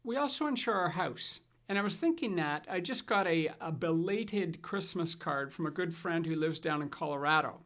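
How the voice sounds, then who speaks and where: A middle-aged man with a somewhat raised voice hosts a financial chat. He tells his stories in a relaxed way and restarts his sentences as he goes. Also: on the high side, normal speed, few pauses. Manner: relaxed storytelling with fillers and restarts. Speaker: financial advisor and show host. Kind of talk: casual scripted chat.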